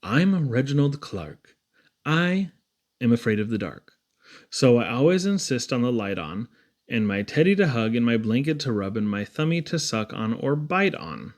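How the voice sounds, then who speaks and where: A man's voice: medium pitch, big pitch swings, normal speed. Man playing a timid little boy. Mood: timid, pleading.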